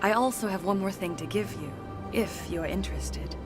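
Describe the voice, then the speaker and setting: An actress playing a serene, mystical alien courtesan in a video game, speaking formally and poetically. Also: female voice, medium pitch, moderate pace, lightly acted. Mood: gracious. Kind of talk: video game cutscene acting. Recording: music behind.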